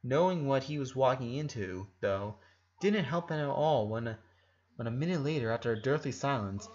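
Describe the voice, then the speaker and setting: A man's voice: mid-range voice, animated, moderate pace, a little mellow, dull audio. A man narrating a fan fiction story aloud in a dramatic reading style.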